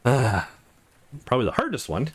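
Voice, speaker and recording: A man's voice: mid-range voice, wildly swooping pitch, moderate pace, breathy edge. A man hosting a let's play, reading visual novel game text aloud.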